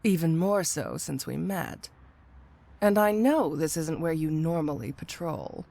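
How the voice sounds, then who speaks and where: Woman acting slow-talking, flirty supervillainess. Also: sits low, creaky, dark tone. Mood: suspicious, challenging. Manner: teasing, sardonic, drawn-out phrasing with amused asides. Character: flirty, playful, dangerous female supervillain cat burglar. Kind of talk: scripted audio roleplay acting addressed to the listener.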